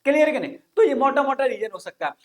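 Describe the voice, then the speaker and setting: A man's voice: extremely high pitch, lively intonation, fast. A man teaching an informal online exam-coaching lesson, explaining things repetitively and often checking that listeners follow. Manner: repetitive, frequent check-in questions.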